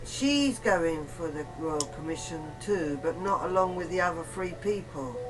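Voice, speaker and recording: Halting, rambling woman in informal commentary. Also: fairly low pitch, animated, over music, poor audio. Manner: halting, rambling, repeats words. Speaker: interviewer and video host.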